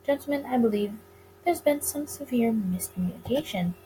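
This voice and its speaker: A woman's voice: a little high, animated, moderate pace, mellow, slight background noise, natural voice, poor audio. A woman voicing a sly, flirtatious male speakeasy boss in a visual novel, with a courtly, teasing manner. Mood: calm, diplomatic. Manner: smooth, courtly, teasing, wordy.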